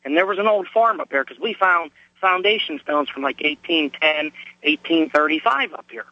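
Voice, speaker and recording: Man, from pennsylvania, caller, hoarse, bright higher voice, muffled phone line. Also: lively intonation, normal speed, no room sound. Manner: plain storytelling, many uh fillers. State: hoarse from a cold.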